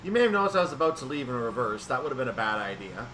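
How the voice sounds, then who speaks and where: A man giving casual commentary on a train simulator, thinking aloud and explaining in an unhurried way. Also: medium pitch, very animated. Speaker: gaming video creator. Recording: distant mic, clear background noise.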